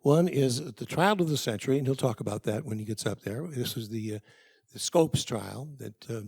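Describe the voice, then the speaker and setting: A man introduces a guest speaker at an event. His voice sits at a middle pitch, and his delivery is casual and unpolished, with frequent fillers. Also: animated, moderate pace, vocal fry, darkish tone, slightly rough.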